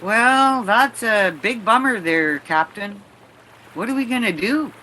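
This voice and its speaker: Female actor as boat hostess, forceful bright tone, plainspoken, incredulous, radio play, slight noise. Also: a little high, lively intonation, slow, full character voice, low-quality recording. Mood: dismayed, doubtful. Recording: faint background noise.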